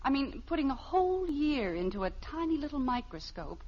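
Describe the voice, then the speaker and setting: A woman playing a patient, gently needling middle-aged American housewife and mother in a 1950s radio sitcom, sweetly literal and drily teasing. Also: sits high, big pitch swings, normal speed. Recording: muffled, hissy old radio recording.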